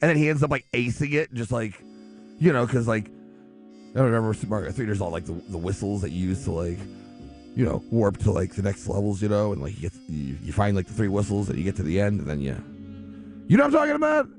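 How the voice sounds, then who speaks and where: A man hosting a radio show with casual, off-the-cuff chat, rambling, halting and repeating himself. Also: lowish voice, music in the background.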